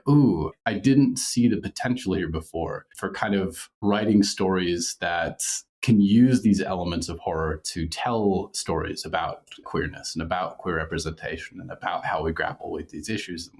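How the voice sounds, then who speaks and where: A man's voice: sits low, normal speed, hardly any pauses, darkish tone. A man speaking reflectively as an interview guest, articulate and thoughtful, often qualifying what he says. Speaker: podcast creator and writer, interview guest.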